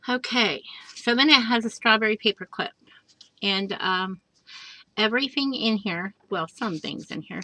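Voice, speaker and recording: A woman casually showing a handmade journal page by page, chatty and gushing, with soft asides and plenty of fillers. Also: medium pitch, no room sound, rough recording. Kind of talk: casual show-and-tell craft walkthrough. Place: indoors at a craft table. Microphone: overhead camera above a craft table.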